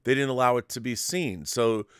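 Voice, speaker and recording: A man's voice: mid-range voice, normal speed, clear, resonant tone, no room sound, clean studio sound. A man hosting a talk show, chatting casually as a fan and often repeating and restarting his phrases.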